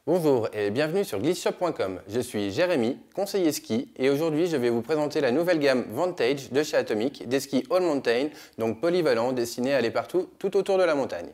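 A man's voice: mid-range voice, expressive, a little fast, few pauses, a little mellow. A man in his thirties, a ski shop advisor, giving a scripted, enthusiastic product presentation in a small indoor studio. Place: indoor studio with skis on a wall.

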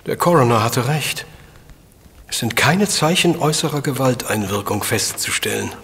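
An actor playing a brilliant, pedantic professor detective in a radio play, formal and precise. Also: male voice, mid-range voice, animated, moderate pace, a bit bright, a little hiss or hum. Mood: coolly analytical. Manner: formal, precise, pompous wording. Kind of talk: German radio play acting. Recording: reverberant room, music behind.

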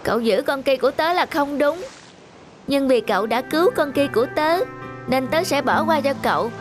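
High-pitched outspoken cartoon boy. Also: expressive, normal speed, soft background music. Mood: forgiving. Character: plucky, possessive young boy devoted to his dog. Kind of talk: Vietnamese cartoon dubbing.

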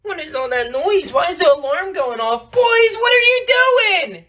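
A woman's voice: high voice, lively intonation, moderate pace. A woman playing a strict but doting mother of boys in a family comedy skit, speaking emphatically. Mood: scolding. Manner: emphatic, gushing or scolding.

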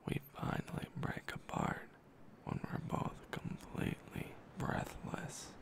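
A man reading a romantic story aloud in a slow, intimate ASMR whisper. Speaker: ASMR creator.

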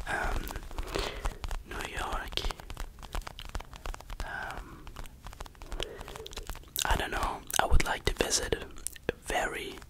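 An ASMR creator whispering answers to questions in English, halting and searching for words, close to the microphone. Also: low-quality recording.

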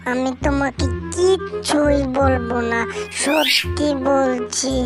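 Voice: sits high, takes it slowly. A performer voicing a doting, suspicious young wife in a cartoon comedy skit, with a cutesy, pleading way of asking. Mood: coaxing. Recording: loud music under the voice.

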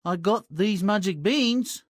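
A woman's voice: medium pitch, lively intonation, slow pace, dry recording, clean studio sound. A woman voicing a lazy, cheeky young peasant boy in a fairy-tale video game, with a nonchalant manner. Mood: pleased. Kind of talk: video game character acting.

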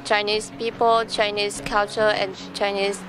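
A woman's voice: medium pitch, level tone, measured pace, background noise. A young woman from Vietnam giving interview answers as a volunteer, speaking simple English with small slips and hesitations. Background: music.

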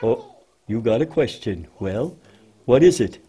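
A man voicing a patient, motherly female cow with a deep voice in a children's church puppet show, gently and hesitantly explaining things. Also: lively intonation, measured pace, slightly rough.

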